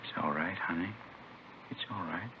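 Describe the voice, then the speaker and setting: An actor playing a gentle, weary, protective husband in a 1950s radio drama, speaking in a soft, soothing way. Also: male voice, low voice, moderate pace, lightly acted. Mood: soothing, resigned. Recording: muffled, noisy vintage radio recording.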